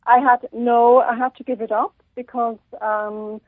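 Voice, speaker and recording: A woman from Switzerland with a slight Irish accent, a radio interview guest who talks haltingly with many pauses and restarts. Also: fairly high pitch, little pitch movement, no echo. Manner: halting, full of ums and restarts. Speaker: guest author. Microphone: telephone. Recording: tinny phone line.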